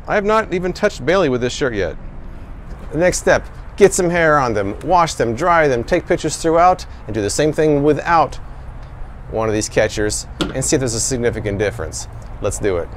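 A man's voice: medium pitch, expressive, normal speed. American guy in his 40s doing a casual product review, bit of background noise on the camera mic. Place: covered outdoor patio and indoor laundry room. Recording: noisy background ambience.

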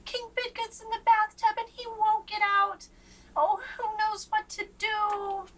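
A woman's voice: extremely high pitch, flat delivery, measured pace, fairly bright tone, far from the mic, pitched above the performer's own voice, rough recording. A woman voicing a frantic young page boy in a storybook, wailing theatrically for help. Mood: frantic, pleading. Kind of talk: storybook read-aloud.

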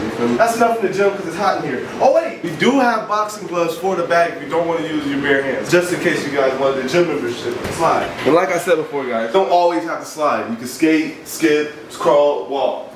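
A man's voice: a little high, noisy background. A young man giving a joking, slangy tour of his house, often asking if you feel him. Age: young adult. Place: rooms of a house, indoors. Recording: echoey rooms, camera mic from a distance.

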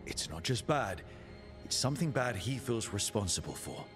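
An actor playing a level-headed companion in a fantasy video game cutscene. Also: male voice, lowish voice, very animated, normal speed. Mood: measured, questioning. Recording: dialogue under clear background music.

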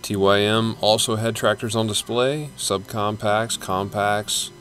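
Casual male vlogger, lowish voice, relaxed show-floor commentary, faint music bed. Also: unhurried. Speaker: channel host. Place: large indoor exhibition hall.

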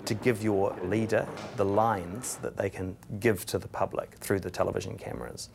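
A political journalist in his thirties talks frankly in an office interview. His voice is very low and gravelly, with faint room noise behind. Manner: frank, explanatory. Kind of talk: documentary interview. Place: cluttered office, indoors.

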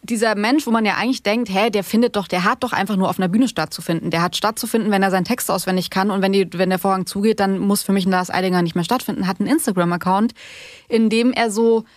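Female podcast interviewer, quick and chatty, mid-pitched, close dry sound. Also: no echo. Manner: talkative, long run-on questions.

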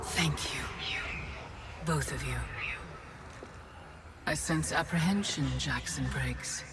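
Slow, regal villainess titan. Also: female voice, sits low, creaky, very noisy, full character voice. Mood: regal calm. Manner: measured, regal, formal. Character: ageless female time titan, calm, grandiose villain. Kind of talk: video game cutscene acting.

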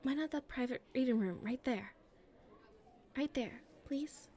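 A woman playing a nervous popular schoolgirl with a secret crush in an ASMR roleplay, softly breathy and halting. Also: high voice. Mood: shy, earnest. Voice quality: soft and breathy, often near a whisper. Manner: hesitant, halting, shyly pleading.

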